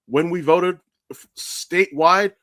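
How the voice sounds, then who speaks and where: An American man co-hosting a podcast, chatting casually and telling stories in a blunt, animated way with plenty of swearing. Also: sits high, slow pace, dry recording, clean studio sound. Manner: blunt, profane, animated storytelling. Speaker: podcast co-host. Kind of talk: casual chat.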